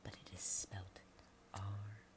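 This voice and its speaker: A woman reading a storybook in a soft whisper, adding chatty asides and sometimes stumbling over words. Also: rumbling low, flat delivery, slow.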